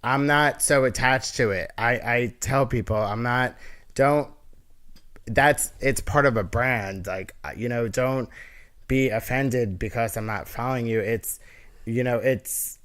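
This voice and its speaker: A man's voice: mid-range voice, a little slow, a bit bright, clear, resonant tone. A man with a nasal voice, a guest on a casual podcast, talking earnestly in a rambling way with many restarts. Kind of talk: casual interview chat.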